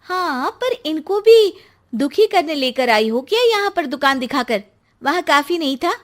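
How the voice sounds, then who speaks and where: A woman voicing an emotional young wife in a cartoon story, speaking in a pleading way that takes offense quickly. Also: high voice, animated, pitched above the performer's own voice. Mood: worried.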